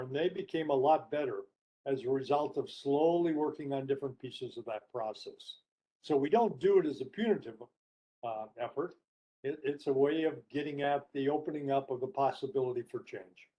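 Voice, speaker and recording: A man's voice: mid-range voice, brisk, warm tone, lo-fi sound. A man telling stories conversationally as a guest speaker, emphatic and listing his points in short phrases. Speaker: guest speaker and book co-author. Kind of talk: conversational storytelling in a webinar discussion.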